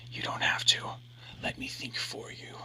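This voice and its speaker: Sinister mirror double whispering from far away, bright hissy edge. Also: male voice, a little slow. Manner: eerie unvoiced whisper.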